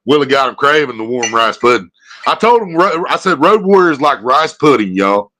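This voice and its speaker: A man's voice: mid-range voice, very animated, fairly quick, bright, forceful tone, a little hiss or hum. A man hosting a livestream. He chats casually and tells rambling stories full of swearing. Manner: profane, rambling storyteller. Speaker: livestream host.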